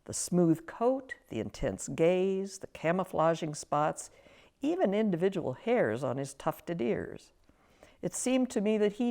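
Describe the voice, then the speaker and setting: Low-voiced woman reading her story. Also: dark tone. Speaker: author reading own story. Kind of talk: scripted personal story narration.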